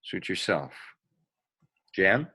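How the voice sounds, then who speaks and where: A mild reverend played by a man with a low voice, polite and unhurried in his stage dialogue. The sound is close and dry. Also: very animated, pushed and bright, breathy edge, no echo, heavily acted. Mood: mild, courteous. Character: mild, courteous male reverend chaperoning a trip. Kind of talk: play readthrough, dramatic dialogue.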